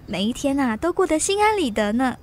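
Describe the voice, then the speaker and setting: A woman acting an earnest society woman in a Mandarin literary radio drama, with expressive, theatrical delivery. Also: high-pitched, normal speed, a little hiss or hum. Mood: earnest, reflective.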